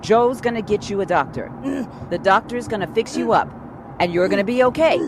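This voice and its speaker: A woman's voice: mid-range voice, animated, fairly quick, background noise, close to an everyday voice. A woman playing a tough but protective career robber in an audio drama, speaking bluntly and with profanity in a steadying way. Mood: firm, insistent.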